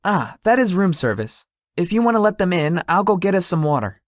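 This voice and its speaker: A man playing a lazy, sweet-toothed young tech company founder in a visual novel, speaking in an awkward, low-key, hesitant way. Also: very animated, brisk, no room sound, studio quality. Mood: eager. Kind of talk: visual novel character dialogue.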